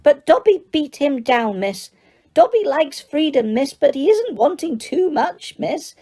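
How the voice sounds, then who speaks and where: Give this a squeaky, eager little male house elf, as a woman reader puts him on, chattering in broken grammar and peppering everything with "sir". The voice soars high and swoops about a lot, yet keeps a mellow, soft tone underneath. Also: big pitch swings, a little slow.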